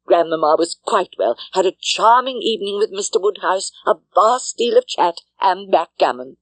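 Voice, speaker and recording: A woman's voice: medium pitch, lively intonation, normal speed, dry recording, natural voice. A woman voicing a fussy, good-natured middle-aged spinster in an audiobook, rambling breathlessly with exclamations and repetition. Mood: fluttery, chattering. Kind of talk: audiobook character acting.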